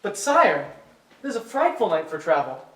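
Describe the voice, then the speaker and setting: A man's voice: sits high, big pitch swings, moderate pace. A man playing a timid, deferential young royal page in a staged musical reading, heard in a distant, roomy recording. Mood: worried. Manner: deferential, formal, hesitant to travel.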